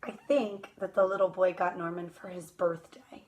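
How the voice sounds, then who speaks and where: A woman doing an animated, expressive children's storytime read-aloud, speaking directly to young listeners with friendly asides. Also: lowish voice, moderate pace, lo-fi sound. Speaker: teacher reading picture books aloud to children.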